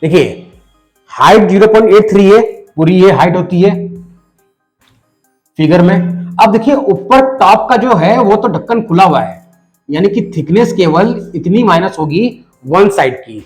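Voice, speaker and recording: Hoarse guy teaching math, bit of a cold, slightly high voice, slow and patient, faint music behind. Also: expressive. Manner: patient, addresses the viewer directly, repeats numbers slowly. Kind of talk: step-by-step math lesson voice-over.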